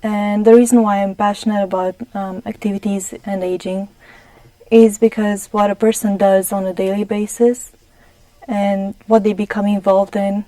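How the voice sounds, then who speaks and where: Young woman, Romanian accent, guest on a community TV show; even, mellow delivery, halting and careful. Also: medium pitch, no room sound, low-quality recording. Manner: careful, many ums and restarts. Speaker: studio guest. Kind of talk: studio interview. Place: TV studio.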